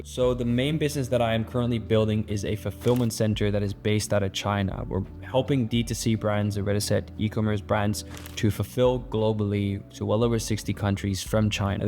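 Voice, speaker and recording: A man's voice: fairly low pitch, a little mellow. A young man from the Netherlands, a business founder, speaking earnestly and motivationally to camera and then training his team, with frequent restarts and fillers. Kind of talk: casual vlog intro, then presentation-style training.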